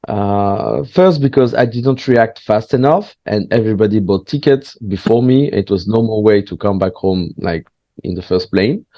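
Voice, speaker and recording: A man's voice: on the low side, dry recording. Guy chatting in english, lots of uhs and restarts, dry slightly muffled sound. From France. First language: French. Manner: hesitant, frequent pauses and restarts, candid. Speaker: guest, stand-up comedian. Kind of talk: casual interview chat.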